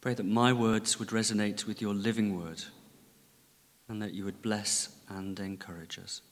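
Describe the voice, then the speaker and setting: A man's voice: lowish voice, level tone. Man preaching in a reverberant church. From Lancashire, England. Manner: gentle, measured, conversational with dry humour. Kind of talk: sermon. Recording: long reverb of a large church.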